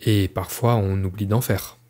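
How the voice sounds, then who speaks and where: A man's voice: fairly low pitch, fairly even intonation, a little slow, a little mellow. A man reads short scripted questions as an unseen questioner. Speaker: off-screen questioner.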